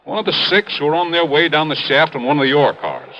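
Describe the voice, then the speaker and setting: An American actor playing a blustering, big-hearted older mine owner in a 1950s radio drama, gruff, emphatic and booming. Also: male voice, lively intonation, brisk, close to an everyday voice. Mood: grave, baffled. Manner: emphatic, gruff, swinging from bellowing to grave. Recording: muffled, noisy old radio recording.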